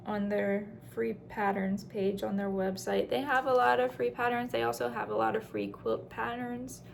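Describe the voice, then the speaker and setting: A woman's voice: fairly high pitch, creaky, a little hiss or hum. A woman chatting casually through a craft show-and-tell as host, in a rambling way with self-deprecating asides.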